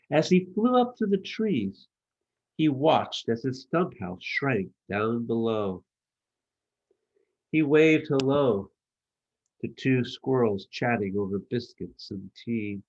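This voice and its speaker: Unhurried man reading a children's storybook. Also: medium pitch, muffled recording. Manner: expressive, measured storytelling. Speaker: author reading his own children's book. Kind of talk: storybook narration.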